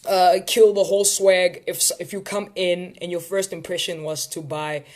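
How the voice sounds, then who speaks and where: A man's voice: high voice, level tone, moderate pace, a little hiss or hum. A man with a South African accent hosting a casual live session for viewers, talking in a rambling, playful way. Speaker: host of a live tips session.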